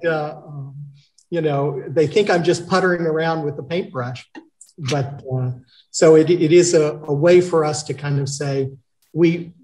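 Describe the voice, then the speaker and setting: A man's voice: a little high, low-quality recording. A middle-aged man co-hosting a casual video call chat, talking in a rambling, easygoing way. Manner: chatty, rambling, with restarts. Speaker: co-host, painter. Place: home studio with paintings on walls.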